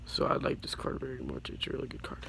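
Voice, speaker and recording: A teenage boy with a raspy voice from being sick, casually showing his trading cards and stumbling over their names. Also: quick pace, pushed and bright. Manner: stumbles over card names, apologetic and rambling. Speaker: YouTuber showing his card collection. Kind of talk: casual card showcase. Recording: low-quality home audio with faint background noise.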